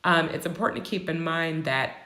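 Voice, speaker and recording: A low-pitched woman, raspy and a bit hoarse from a cold. She explains things in a casual, rambling way, with plenty of "um"s. Her voice bounces around an echoey room. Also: brisk, sharp tone. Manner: explanatory, with frequent fillers. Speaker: host. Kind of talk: casual educational talk.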